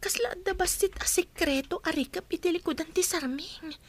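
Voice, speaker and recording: Radio drama heroine: cautious, respectful young Ilocano woman with a very high, girlish pitch. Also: fairly quick, noisy background. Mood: secretive, wondering.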